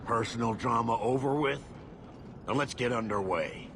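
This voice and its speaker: An actor playing an impatient, crude and bombastic dwarf expedition leader in a video game, blustering and barking orders. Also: male voice, mid-range voice, moderate pace, background noise, natural voice. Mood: brisk, impatient. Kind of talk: video game cutscene acting. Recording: loud music behind dialogue. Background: loud music.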